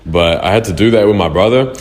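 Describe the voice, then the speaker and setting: Young New male artist, very deep, swears freely, echoey room. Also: fast. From New Zealand. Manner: loose, slangy and profane. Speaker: music artist. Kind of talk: casual answers to interview questions. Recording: roomy echo.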